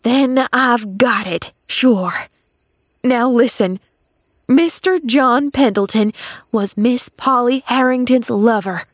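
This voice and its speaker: Woman voicing an excitable, gossipy rustic housemaid, high-pitched. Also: a little slow, dry recording. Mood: conspiratorial, triumphant. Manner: dramatic, folksy, ungrammatical dialect, repeats herself. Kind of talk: audiobook character voice.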